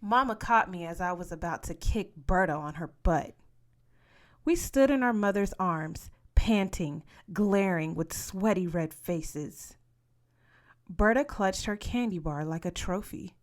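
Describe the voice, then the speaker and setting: A woman reading a children's story aloud in expressive scripted narration. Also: on the low side, unhurried. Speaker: story reader.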